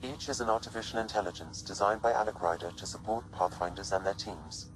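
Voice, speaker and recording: A man voicing a deep, formal artificial intelligence. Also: slight background noise, natural voice, low-quality recording. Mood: calm, explanatory. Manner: measured, precise, formal, literal. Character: calm, formal male artificial intelligence companion. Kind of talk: scripted video game dialogue. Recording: music behind the voice.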